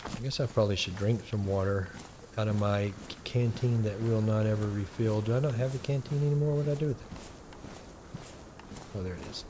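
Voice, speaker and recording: A man with a Texan accent giving relaxed, rambling commentary and thinking aloud while he plays a survival game. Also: on the low side, a little fast, noisy background. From Texas. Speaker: gaming channel host. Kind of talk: casual gameplay commentary.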